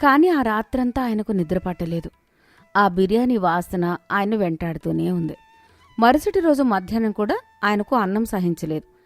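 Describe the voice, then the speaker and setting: A woman's voice: mid-range voice, lively intonation, moderate pace, no echo. Dry-recorded woman storyteller over music. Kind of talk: scripted moral story narration. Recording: dry studio, music underneath. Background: background music.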